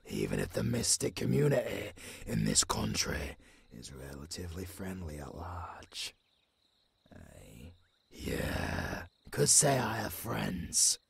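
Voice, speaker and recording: A man with a British accent voicing a gruff, haunted old werewolf warrior in an audio roleplay, with a husky growl and a brooding delivery that drops toward a whisper. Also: extremely low pitch, heavily acted. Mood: weary, guarded. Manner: slow, brooding, half-whispered with sudden gruff rises. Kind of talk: dramatic ASMR audio roleplay.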